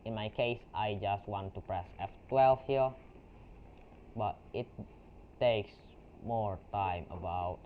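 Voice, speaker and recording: A young man from Indonesia with an Indonesian accent, a non-native English speaker walking through a software tutorial in a halting way, with restarts and fillers. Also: fairly low pitch. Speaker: tutorial creator. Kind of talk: software screen-recording tutorial. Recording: tinny lo-fi mic with faint noise.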